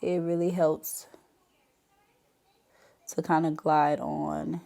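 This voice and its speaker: A woman with a notably low voice talks through a makeup tutorial in a loose, chatty way, addressing viewers directly. Her voice sounds stuffy and congested, as she is getting over a cold. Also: little pitch movement, very slow, vocal fry, mellow. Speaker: beauty vlogger.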